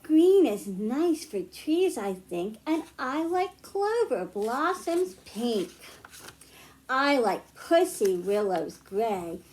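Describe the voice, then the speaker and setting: A woman's voice: fairly high pitch, big pitch swings, drawn-out words, darkish tone. An American woman speaking as a children's librarian at storytime, reading aloud and explaining things simply to young children. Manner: simple, child-directed phrasing with questions to listeners. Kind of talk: picture-book read-aloud and simple teaching for young children.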